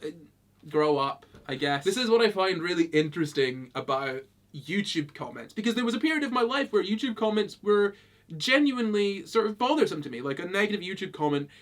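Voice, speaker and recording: High-voiced Scottish young man. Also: big pitch swings, brisk, fairly bright tone. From Britain. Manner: dry, amused, laughs often. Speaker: YouTuber. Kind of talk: casual commentary reading comments.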